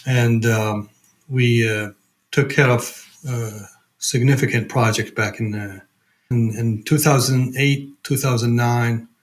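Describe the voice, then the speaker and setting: A man's voice: mid-range voice, steady tone, slow pace. A man from Iraq with an Iraqi accent, talking conversationally as a podcast guest and searching for his words. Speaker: podcast guest, program director. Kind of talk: conversational personal account.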